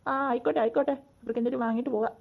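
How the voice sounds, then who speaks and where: A performer voicing a kindly old grandmother in a cartoon comedy, gentle and indulgent, with faint noise and clicks. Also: mid-range voice, fairly even intonation, racing, gravelly, breathy edge, voice pushed down. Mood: agreeable.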